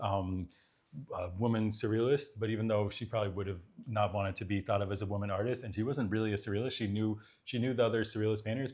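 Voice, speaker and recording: A man's voice: on the low side, a little mellow. A man from Boston, an art collector, talking casually in his apartment, rambling with many fillers and restarts. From Boston, Massachusetts. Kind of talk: casual conversation.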